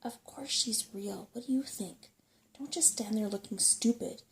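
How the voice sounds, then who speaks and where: A woman's voice: on the high side, unhurried, slight background noise. A woman voicing a small, bossy, impatient female cat-faced creature in a storybook, with snippy, chiding delivery. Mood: scornful.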